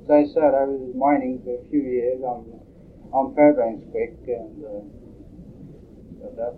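An older man from Norway, telling his life story in an oral history interview, halting and full of fillers. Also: medium pitch, steady tone. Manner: halting, many pauses and fillers. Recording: tinny lo-fi tape, faint hiss.

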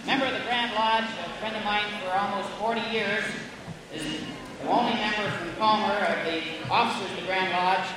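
A man acting as master of ceremonies, loudly announcing guests at a banquet. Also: sits high, a little fast, recorded from a distance. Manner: loud, announcing names to a hall. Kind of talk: introductions at a banquet. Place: large hall with a crowd. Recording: echoey hall, crowd noise.

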